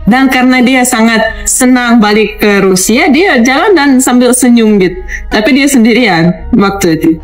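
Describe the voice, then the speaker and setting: A woman from Russia with a Russian accent, a native Russian speaker, talking casually and anecdotally in simple, halting second-language phrasing. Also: fairly high pitch, faint music behind. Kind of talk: casual anecdotal talk to camera.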